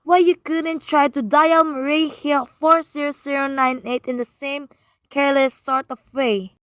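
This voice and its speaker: A high, deliberately paced voice for a nervous, demanding wife played by a woman in a radio thriller. She is frail and fretful, pleading and ordering with melodramatic weight. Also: steady tone, dry recording, studio quality. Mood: exasperated, demanding. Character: frail, anxious, imperious wife alone at home. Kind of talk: old-time radio thriller acting.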